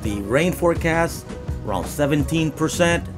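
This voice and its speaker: A man's voice: on the high side, lively intonation, moderate pace, music in the background. A middle-aged man hosting a boating vlog, talking casually with many fillers and restarts. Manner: easygoing, rambling with fillers and restarts. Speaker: boating channel host. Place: open boat on windy water, outdoors. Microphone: action camera held close.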